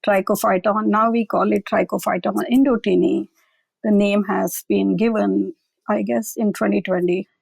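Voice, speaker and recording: A woman's voice: mid-range voice, fairly even intonation, normal speed, gravelly, darkish tone, no echo, low-quality recording. A woman researcher being interviewed, explaining carefully and often adding "I will say". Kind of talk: conversational expert interview.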